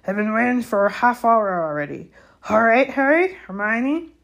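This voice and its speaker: A woman voicing a big, gruff male gamekeeper with rustic, commanding speech for a storybook reading. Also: a little high, animated, normal speed, fairly bright tone, voice pushed up. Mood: gruff, scolding. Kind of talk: audiobook character voice.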